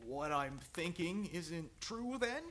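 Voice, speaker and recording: A man playing a troubled, guilt-ridden patient with deliberate, drawn-out speech in an old-time radio play. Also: a little high, animated, a bit bright. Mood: troubled, insistent. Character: troubled, guilt-ridden male mental patient, insistent and dazed.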